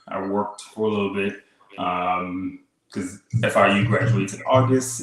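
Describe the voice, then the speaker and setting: A man in his thirties from Haiti, a podcast guest telling his story in relaxed casual chat, full of "like" and "kinda" fillers. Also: deep voice. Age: 30s. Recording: echoey room.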